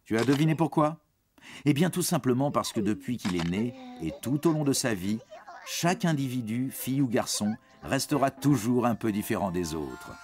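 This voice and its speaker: A man's voice: medium pitch, expressive, moderate pace. A man giving scripted educational narration for young teens in a reassuring, explanatory manner. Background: background music.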